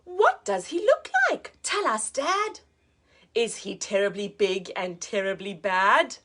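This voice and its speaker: A woman's voice: medium pitch, expressive, takes it slowly, fairly bright tone. A woman voicing a curious little storybook monster girl, eager and wide-eyed in her questioning. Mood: curious, pleading.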